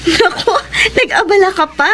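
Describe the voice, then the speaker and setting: A woman acting in a Filipino radio drama as a soft-hearted young wife left by her husband, with a Filipino accent and an expressive, plaintive delivery. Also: very high voice, moderate pace, slight background noise. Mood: delighted. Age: young adult. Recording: music behind the voice.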